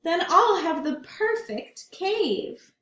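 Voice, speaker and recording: Storybook bear, male, greedy and eager for stuff, put on by a woman reading aloud; high, drawn-out lines in a roomy room. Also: animated, fairly bright tone, full character voice. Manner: eager, slow and emphatic. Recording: roomy echo.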